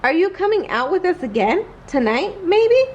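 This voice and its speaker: A woman's voice: extremely high pitch, normal speed, highs cut off, a little hiss or hum, pitched above the performer's own voice. A woman voicing an eager, friendly young boy superhero with an enthusiastic manner, for a children's storybook. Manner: excitable, enthusiastic.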